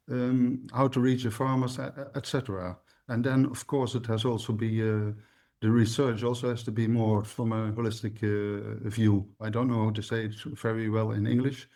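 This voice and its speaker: A man with a Dutch accent, a native Dutch speaker who coaches dairy farmers, talking on a panel and often pausing to find words. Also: medium pitch, a little mellow. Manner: hesitant, searching for English words. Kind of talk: panel discussion.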